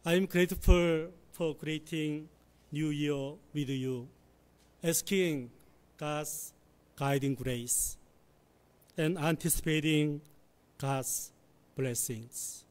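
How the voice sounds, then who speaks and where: Pastor, man in his forties, slightly husky, measured and unhurried delivery at the pulpit, voice a little high. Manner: slow, measured preaching with careful phrasing. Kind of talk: sermon. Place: church sanctuary, at the pulpit. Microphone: pulpit microphone.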